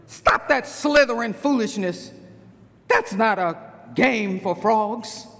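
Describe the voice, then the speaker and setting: Stern, worried storybook mama frog played by a man, slow and emphatic delivery, big reverberant hall. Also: medium pitch, expressive, gravelly, fairly bright tone, full character voice. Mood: grave warning. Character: alarmed, stern mother frog warning her son.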